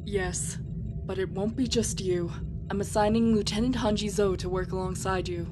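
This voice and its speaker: Woman acting as a hard-nosed fleet admiral, brusque, commanding, medium pitch, sci-fi drama, music behind. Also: steady tone, normal speed, close to an everyday voice. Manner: brusque, swearing, authoritative. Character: blunt, commanding fleet admiral, frustrated and unyielding.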